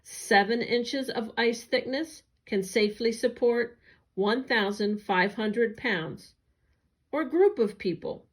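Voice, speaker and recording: A woman reading an educational newsletter aloud in careful, clearly separated phrases. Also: a little high, slow. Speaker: agency staff reader.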